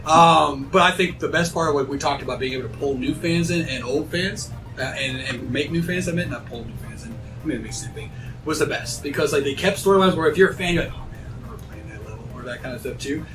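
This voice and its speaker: A man's voice: a little high, fast, far from the mic, low-quality recording. A man hosting a casual fan panel, chatting in a rambling, opinionated, joking way. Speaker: host of a fan discussion panel. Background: music.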